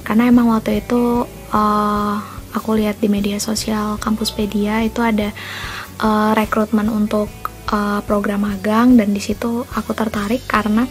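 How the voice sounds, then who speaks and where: A woman's voice: mid-range voice, flat delivery, normal speed, faint music behind, low-quality recording. A twenty-one-year-old woman, a final-year student, speaking hesitantly with many fillers. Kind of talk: casual testimonial.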